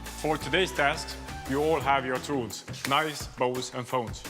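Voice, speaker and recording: A man's voice: medium pitch, fairly even intonation, slow. A man hosting a cooking competition as a judge, giving firm instructions. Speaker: judge chef. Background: background music.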